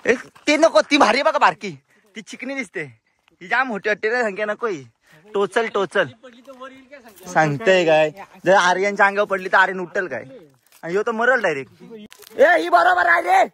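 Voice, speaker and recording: A man's voice: high-pitched, animated, moderate pace, pauses often, sharp tone. A young man from Maharashtra, chatting casually as a vlogger in a playful, teasing way, tossing in slangy English catchphrases. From Maharashtra, India. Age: young adult. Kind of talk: casual vlog chat.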